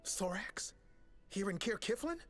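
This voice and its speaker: A man's voice: sits high, sing-song, measured pace, fairly bright tone, soft background music, exaggerated voice. A man playing an earnest, reasonable and direct council member in a fantasy video game. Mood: urgent.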